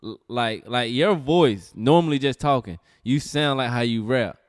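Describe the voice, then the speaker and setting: Brief: male host, smooth clean voice, dry close sound, relaxed casual questions. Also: medium pitch, unhurried, no room sound. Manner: relaxed, hyped greetings, slangy questions. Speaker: podcast host. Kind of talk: casual interview chat.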